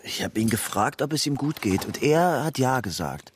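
A man's voice: medium pitch, very animated, normal speed, slight background noise, natural voice. An actor playing a wary, troubled young man on the run in a crime radio drama, brooding and sardonic as he tells his story. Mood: guarded. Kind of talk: German crime radio drama, narration and dialogue.

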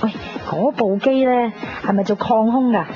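A woman's voice: a little high, moderate pace, natural voice. An actress playing a restless, nosy young woman in a Hong Kong Cantonese radio drama. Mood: breezy, eager. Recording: dull lo-fi radio recording with music.

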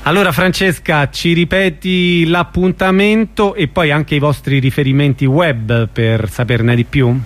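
A man's voice: on the high side, measured pace, hardly any pauses. A man hosting a conversational radio interview, asking questions in an informal way.

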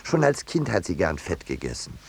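Deep-voiced, ironic schemer. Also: male voice, huge pitch swings, normal speed, breathy edge, heavily acted. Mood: pleased, conspiratorial. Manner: mock-polite, hesitant, ironic. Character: scheming, smooth-talking man bargaining away his troublesome cousin. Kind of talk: 1950s German radio drama acting and narration. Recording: vintage 1950s radio recording.

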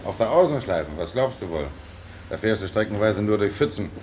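An easygoing low-voiced tram driver. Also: male voice, big pitch swings, brisk, a little mellow, slight background noise. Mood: chatty. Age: 30s. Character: tram driver Franz, nearly forty, easygoing and proud. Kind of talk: naturalistic German radio play dialogue.

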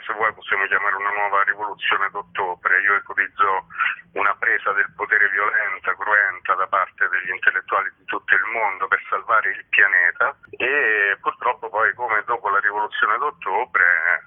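Deep-voiced man on a phone line. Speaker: playwright, radio interview guest. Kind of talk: spoken interview about a play. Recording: thin phone line on radio.

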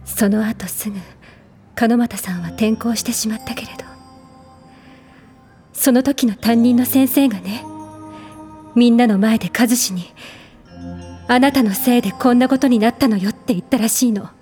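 Woman acting a worried elder sister, higher-pitched. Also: normal speed, long pauses, dark tone, soft background music, close to an everyday voice. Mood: hesitant, confiding. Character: troubled, caring adult elder sister confiding family history. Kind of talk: audio drama dialogue.

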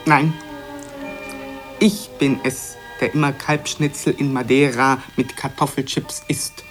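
Gentleman diner, male actor, absurdist radio play: pensive, hesitant, very unhurried, medium pitch. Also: fairly even intonation, faint music behind, lightly acted. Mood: confiding. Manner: hesitant, deliberate, ponderous with pauses.

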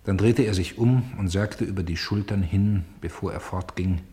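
An actor playing a grave, sorrowful storyteller in a radio play, with solemn and deliberate phrasing. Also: male voice, sits low, warm tone, lightly acted. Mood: somber. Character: grave, sorrowful male storyteller bearing witness to tragedy.

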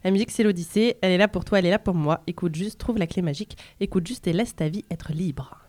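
A woman's voice: fairly low pitch, quick pace, a little mellow, dry recording. A woman hosting a casual radio music quiz in an informal, playful and self-deprecating way. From France. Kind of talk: casual chat, reading lyrics aloud. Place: radio studio.

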